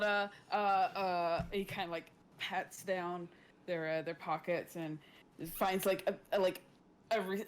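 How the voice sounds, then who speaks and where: A woman player in a tabletop game, roleplaying casually and haltingly, repeating words as she improvises. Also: lowish voice.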